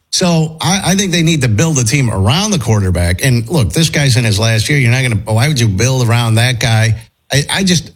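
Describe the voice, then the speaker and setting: Hoarse-sounding male radio host. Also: medium pitch. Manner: opinionated, frequent throat-clearing. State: losing his voice. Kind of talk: morning radio talk.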